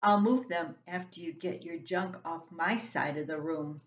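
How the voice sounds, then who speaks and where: A woman's voice: fairly low pitch, measured pace, roomy sound, highs cut off, close to an everyday voice. An older woman voicing a stubborn, dismissive ten-year-old younger brother in a family story, offhand and defiant.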